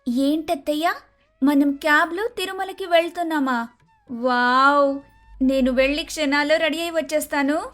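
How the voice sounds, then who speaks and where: A woman's voice: sits high, moderate pace, fairly bright tone. A woman playing an eager, naive young daughter-in-law in a dramatised moral story, chatty, exclamatory and questioning. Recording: music under the voice.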